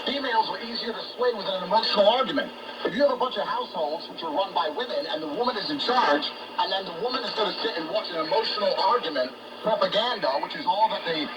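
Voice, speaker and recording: A man talking politics as a guest on a talk show, in an emphatic, ranting manner, heard over shortwave radio. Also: high-pitched. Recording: noisy shortwave radio broadcast, distant.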